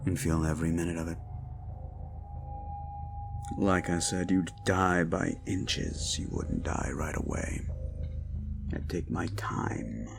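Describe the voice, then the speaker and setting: A man playing a hulking sadistic slasher killer in a horror roleplay audio, gloating and menacing, savouring each pause and dropping into whispers. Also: low voice, creaky, over music, full character voice. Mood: savouring, sinister.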